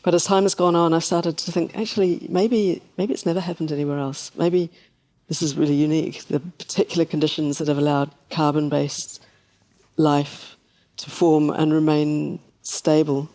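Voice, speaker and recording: A woman talks through scientific ideas as an interview guest. Her intonation stays fairly flat, and she sounds reflective, feeling her way tentatively through each thought. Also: low-pitched, normal speed. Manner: reflective, tentative, thinking aloud. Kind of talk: conversational science discussion.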